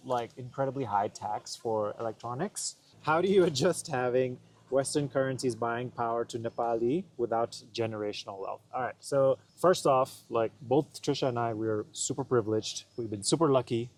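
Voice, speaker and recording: A young man gives easygoing answers on a vlog, joking now and then and pausing on fillers. His voice sits at a middling pitch, and a faint hum of noise runs underneath. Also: darkish tone. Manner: laid-back, joking, hesitant fillers. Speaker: vlogger. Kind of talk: casual Q&A chat.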